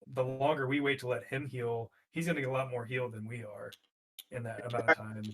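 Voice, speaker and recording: Halting man talking game strategy. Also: medium pitch, steady tone. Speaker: tabletop game player. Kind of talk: casual game strategy chat.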